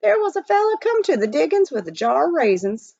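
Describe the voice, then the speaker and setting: A woman's voice: high-pitched, sing-song, fairly quick, creaky, a little mellow, no echo, pitched above the performer's own voice, heavily acted. A woman plays a wry old-time prospector spinning yarns in a storybook. The delivery is a rustic drawl with big rises and falls, on a slightly muffled recording. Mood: wry, folksy. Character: folksy old-time male gold miner, wry yarn-spinner.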